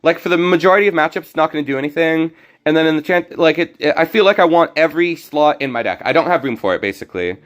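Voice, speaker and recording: A young man with a fairly high voice and a slightly hoarse throat chats his way through a card game on stream. He rambles, cuts himself off and restarts his sentences as he explains his plays. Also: fast. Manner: rambling, self-correcting. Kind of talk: casual gameplay commentary.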